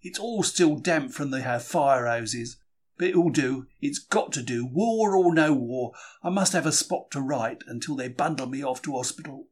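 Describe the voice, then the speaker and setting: A man's voice: medium pitch, lively intonation, normal speed, natural voice. A man reading a diary dramatically as a gutsy, emotional Cockney bookseller in wartime, colloquial and confiding. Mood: resolute. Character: gutsy, emotional working-class London bookseller diarist in wartime. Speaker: reader.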